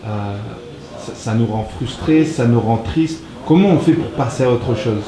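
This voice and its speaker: Gentle male interviewer, echoey and crowded. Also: mid-range voice, normal speed, crowd noise. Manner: gentle, encouraging questioning. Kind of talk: interview questions.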